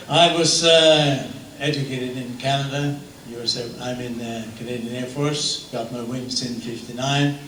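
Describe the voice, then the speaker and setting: An older retired pilot giving a halting reunion speech with many pauses. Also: male voice, medium pitch, fairly bright tone, slight background noise. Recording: echoey hall from far off.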